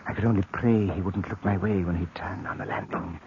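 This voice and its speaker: A man narrating in the first person for an old radio drama, tense and breathy in places. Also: low voice, fairly even intonation, brisk. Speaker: narrator and lead. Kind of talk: 1940s radio acting. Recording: dull old radio recording with hiss.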